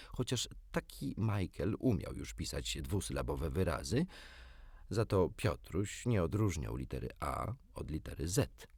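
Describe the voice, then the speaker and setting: Male narrator, low husky voice, animated children's storytelling, dry close sound. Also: dry recording. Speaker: audiobook narrator.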